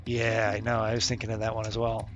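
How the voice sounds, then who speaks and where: A man's voice: fairly low pitch, monotone, fast talker, fairly bright tone, lo-fi sound. A man streaming a puzzle game live, thinking aloud, swearing and muttering to himself as he tries passwords. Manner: swears and mutters while working through a puzzle. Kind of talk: live gameplay commentary. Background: faint game music.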